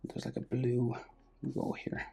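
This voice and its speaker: An Irish man with a gravelly, husky voice, casually talking through a drawing lesson step by step and trailing off quietly as he works. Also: medium pitch, measured pace. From Ireland. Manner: relaxed, thinking aloud while drawing, trailing off quietly. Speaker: drawing tutor.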